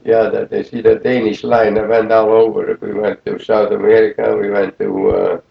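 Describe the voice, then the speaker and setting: A man, recalling the past in a rambling, halting way full of fillers in an oral history interview. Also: on the low side, flat delivery, vocal fry. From Netherlands. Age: 80s. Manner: rambling, halting, many fillers like "you see". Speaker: interviewee, war veteran. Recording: roomy lo-fi 1980 tape.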